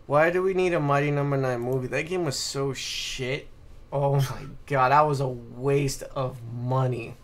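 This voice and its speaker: A man's voice: mid-range voice, steady tone, measured pace, a crowd in the background. A man co-hosting a casual live stream chat in a blunt, offhand way. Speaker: podcast co-host. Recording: slight background noise.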